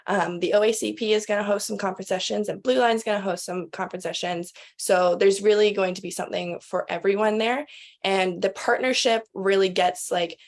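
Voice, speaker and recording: Woman explaining casually, mid pitch. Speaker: magazine editor, interview guest. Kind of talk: conversational explaining.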